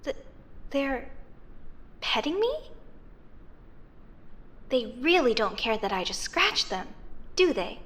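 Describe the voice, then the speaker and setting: A woman's voice: a little high, slow, long pauses, heavily acted. A woman playing a friendly, teasing young female werecat in a comedic audio roleplay, talking to herself expressively with hesitant stammers. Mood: soothed, wondering. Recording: steady rain ambience noise behind voice. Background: rain ambience.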